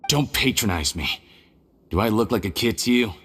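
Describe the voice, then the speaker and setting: An actor playing a surly, impatient convict in a video game, curt and hostile. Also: male voice, fairly low pitch, lively intonation, moderate pace, fairly bright tone, husky. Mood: irritated.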